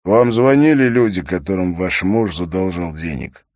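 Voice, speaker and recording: Audiobook role: sombre male investigator, restrained and serious, low voice man reading the part. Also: normal speed, a little mellow, no room sound, studio quality. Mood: guarded sympathy. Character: reserved, sombre male investigator, gently questioning.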